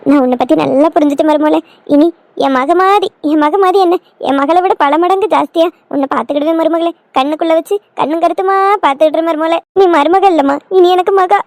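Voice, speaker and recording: A woman's voice: extremely high pitch, a little fast, a little mellow, a little hiss or hum. A woman playing a doting older village mother-in-law in a family skit, motherly and emotional, with a southern Tamil Nadu accent. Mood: tearful, doting. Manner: motherly, fussing, emotional, coaxing.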